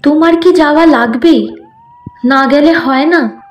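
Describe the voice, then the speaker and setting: A woman's voice: high voice, measured pace. A woman playing a worried, sickly village wife in a horror cartoon, plaintive and imploring, on a roomy recording. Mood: fearful pleading.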